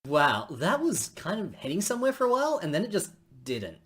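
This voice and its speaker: A man's voice: high-pitched, wildly swooping pitch, fairly quick, a bit bright. A man giving casual, joking commentary as a live streamer.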